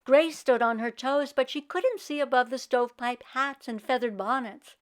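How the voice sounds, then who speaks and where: A woman's voice: high-pitched, moderate pace. A woman reading a children's book aloud expressively, in a teacherly way that invites young listeners in. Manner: teacherly, clearly paced. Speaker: children's read-aloud storyteller. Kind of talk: expressive storybook narration with questions to young listeners.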